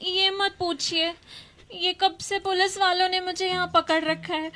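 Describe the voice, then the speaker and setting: Woman playing a very high, slow, plaintive damsel. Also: flat delivery, bright, forceful tone, full character voice. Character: distressed young woman stranded with police, pleading. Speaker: prank caller.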